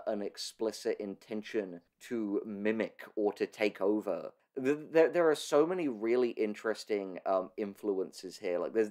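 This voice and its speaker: A man's voice: fairly low pitch, darkish tone. A man in a conversational analytical discussion, speaking thoughtfully with hesitant, stammering starts as a podcast host.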